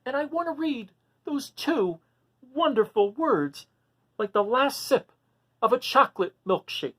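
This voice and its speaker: A woman voicing an eager young male dragon who loves books, pleading and bursting with excitement. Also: sits high, measured pace, voice pushed up.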